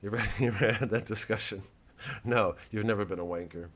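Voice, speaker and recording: A man's voice: on the low side, quick pace, a bit bright. A man giving a rambling, improvised satirical monologue, sardonic and profane, often digressing as he goes. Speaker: satirical comedian.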